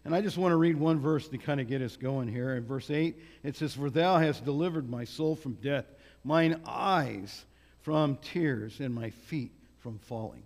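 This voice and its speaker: A man's voice: medium pitch, a little mellow. A man preaching as a guest in a church, talking in a big voice with many fillers and restarts. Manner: loose, halting delivery with many fillers and restarts. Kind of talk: sermon. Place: church hall. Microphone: microphone on a sound system. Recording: church hall with long reverb.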